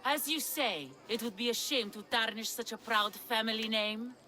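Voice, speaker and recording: An actor playing an authoritative ancient Greek judge in a video game, formal and declamatory. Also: male voice, high voice, normal speed, sharp tone. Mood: solemn. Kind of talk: video game dialogue acting.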